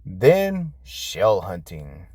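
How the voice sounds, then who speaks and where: A man's voice: low voice, wildly swooping pitch, drawn-out words, a little mellow, slightly rough, no room sound, heavily acted. A man voicing an eager, earnest young cat boy in a children's storybook. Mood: eager, willing.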